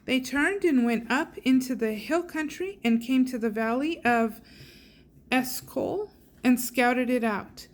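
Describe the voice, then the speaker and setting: A woman reading scripture aloud in a measured way, sometimes stumbling over hard names. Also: high-pitched. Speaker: Bible reader. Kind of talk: slow, careful scripture reading.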